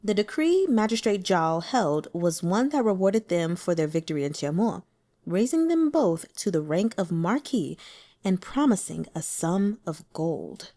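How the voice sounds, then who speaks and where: Woman, audiobook narrator, widely varied intonation, soft-edged mellow tone, scripted storytelling. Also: fairly low pitch, very animated, a little slow. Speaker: audiobook reader.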